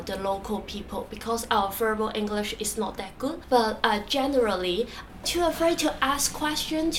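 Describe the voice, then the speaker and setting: Cantonese-speaking woman, Hong Kong accent, higher voice, halting replies full of fillers, mic a bit far, faint noise behind. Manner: hesitant, frequent uh fillers and restarts. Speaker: interviewee. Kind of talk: casual interview answers.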